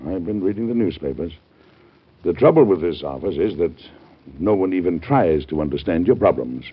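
A man's voice: deep voice, animated, normal speed, husky. An American man acting an earnest, formal, beleaguered but resolute president in an old-time radio drama. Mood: weary. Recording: muffled old radio show recording.

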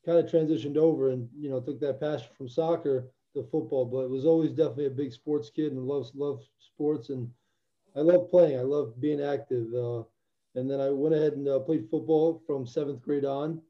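A man's voice: mid-range voice, steady tone, moderate pace, lo-fi sound. A young man from Albania, a former football player, answering interview questions in an earnest, reflective way with many restarts. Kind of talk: casual interview.

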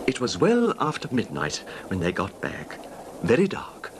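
A quietly vengeful, meek husband. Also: male voice, huge pitch swings, measured pace, full character voice. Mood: coolly sinister. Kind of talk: old-time radio drama acting. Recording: noisy vintage radio drama, rumbling background.

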